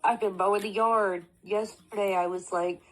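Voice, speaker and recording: A woman's voice: mid-range voice, fairly even intonation, normal speed, a bit bright, rough recording. A woman in her thirties with a stuffy nose from allergies, talking casually and rambling with many fillers and restarts. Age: 30s. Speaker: panelist. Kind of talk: casual discussion.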